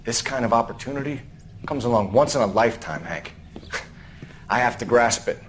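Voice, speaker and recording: An actor playing an ambitious, idealistic young military officer in a video game, earnest and self-important. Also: male voice, medium pitch, lively intonation, normal speed, over music, lightly acted. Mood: eager, determined. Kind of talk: dramatic game acting.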